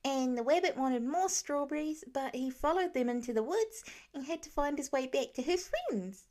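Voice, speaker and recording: An eager little boy in an audiobook story, acted out by a woman. The voice sits high and childlike, with an earnest, chatty way of putting things. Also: animated, moderate pace, darkish tone. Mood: chattering. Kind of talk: audiobook character voice.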